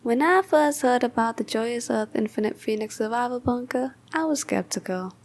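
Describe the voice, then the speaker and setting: A woman's voice: on the high side, lively intonation, normal speed. A woman playing a cheerfully devoted mother in a bunker commune, giving an earnest, chatty testimonial in an audio comedy. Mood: earnest, contented.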